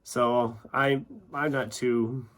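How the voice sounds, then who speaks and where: A man talks casually to his viewers as a channel host. His voice sits at an average pitch. He speaks informally, with self-deprecating asides. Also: level tone, drawn-out words, a bit bright. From United States, Iowa. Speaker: YouTube book channel host. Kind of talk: casual chatty vlog, answering questions.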